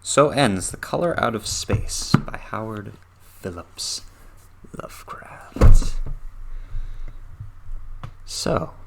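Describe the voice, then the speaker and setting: A man with a fairly low voice narrates in a theatrical read-through style. His delivery is halting and weighted, and his phrases often sink into a hush as they close. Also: expressive, gravelly, background noise. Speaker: narrator reading a horror story aloud. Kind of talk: dramatic literary read-through.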